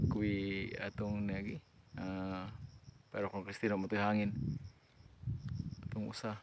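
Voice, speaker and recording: A man with a Cebuano Filipino accent giving casual, informal vlog commentary in short fragments as he works on site. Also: lowish voice. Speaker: radio repeater technician and vlogger. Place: hilltop radio repeater site. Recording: noisy on-site amateur recording.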